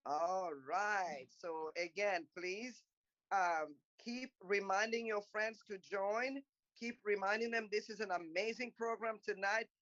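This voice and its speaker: A woman's voice: medium pitch, unhurried, a bit bright, dry recording, dull audio. A woman from Congo whose first language is French, hosting and moderating an online panel in a chatty, encouraging way and thinking aloud as she types. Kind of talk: casual hosting of an online talk.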